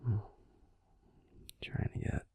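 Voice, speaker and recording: Man as breathy whispering werewolf boyfriend, slow. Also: close to an everyday voice. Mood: soothing, drowsy. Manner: gentle, soothing, unhurried reassurance with pauses. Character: grumpy, caring young male werewolf boyfriend. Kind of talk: whispered ASMR sleep-aid roleplay. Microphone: close, dry microphone.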